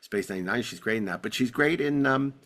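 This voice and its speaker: A man's voice: mid-range voice, animated, fast, fairly bright tone. Male podcast host, ordinary mid-range voice, casual and chatty, talks like a keen fan. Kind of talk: casual chat.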